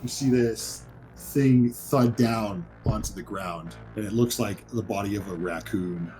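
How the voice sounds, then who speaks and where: A man's voice: lowish voice, unhurried, warm tone, faint music behind, low-quality recording. A man narrating a tabletop horror game as game master, improvising descriptions in a casual way.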